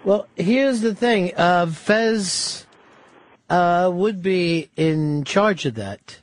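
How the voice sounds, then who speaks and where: A man's voice: sits high, animated, very slow, no echo. A man hosting live talk radio from a studio, blunt and profane as he needles his co-host.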